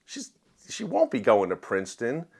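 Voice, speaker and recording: A man's voice: mid-range voice, huge pitch swings, normal speed, creaky, a little mellow, husky. A man giving a casual, opinionated book review to viewers in a conversational, emphatic way. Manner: conversational, acts out quoted dialogue in everyday voice.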